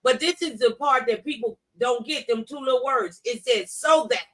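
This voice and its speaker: A middle-aged woman with a notably high voice and a bright, forceful edge. She talks about faith in a conversational way, leaning into key phrases like a preacher and repeating scripture for emphasis. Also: normal speed, distant mic. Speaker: talk show speaker on faith. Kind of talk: conversational religious talk, testimony and scripture.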